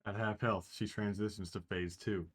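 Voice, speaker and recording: A laid-back speedrunner walking through boss tactics in a low, even voice, as a man reads him. He sounds matter-of-fact and explanatory, like a game guide. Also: moderate pace, lightly acted. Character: calm male speedrunner giving strategy tips. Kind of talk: fan reading over voice call.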